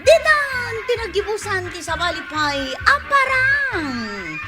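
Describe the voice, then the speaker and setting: High, sweeping Ilocano woman announcer over music. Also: sing-song. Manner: theatrical, drawn-out announcing. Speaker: radio drama announcer. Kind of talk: dramatic scripted radio introduction and credits.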